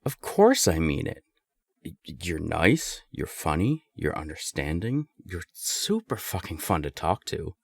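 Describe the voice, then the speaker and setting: Man playing a young serial-dater friend for audio roleplay: low gravelly voice, candid, emphatic, secretly smitten. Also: sing-song, unhurried, dry recording, heavily acted. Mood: earnest. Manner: conversational, hesitant, emphatic swearing. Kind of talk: intimate audio roleplay acting.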